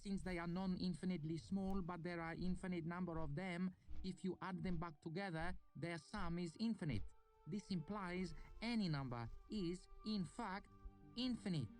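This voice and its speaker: A man's voice: high-pitched, moderate pace, voice pushed up, natural voice. A man voicing a young male student with an odd foreign accent who explains his point precisely and logically. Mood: earnest. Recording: music playing under the voice.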